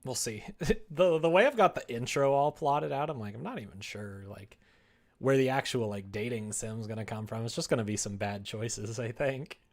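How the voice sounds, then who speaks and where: A man's voice: medium pitch, moderate pace. A man livestreaming his development work, thinking aloud casually and muttering to himself in short fragments. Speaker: livestreamer developing a visual novel.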